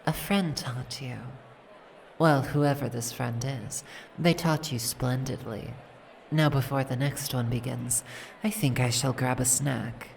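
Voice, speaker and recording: A woman voicing a possessive, regal dragon princess in a fantasy audio roleplay, speaking in a formal, imperious, measured way. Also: very deep voice, vocal fry, slight background noise, exaggerated voice. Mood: gracious, composed.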